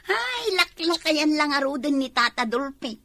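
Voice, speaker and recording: A woman's voice: sits high, sharp tone, no room sound, studio quality, full character voice. A woman playing a cheeky, gossipy village wife in an Ilocano comedy drama, with an Ilocano accent. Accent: Ilocano, northern Philippines.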